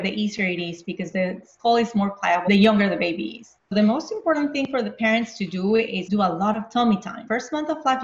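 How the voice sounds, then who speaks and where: A woman pediatrician from Venezuela, in her forties, calmly explaining baby care in an interview. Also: medium pitch. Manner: calm, explanatory, plain speaking. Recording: roomy, slightly dull sound.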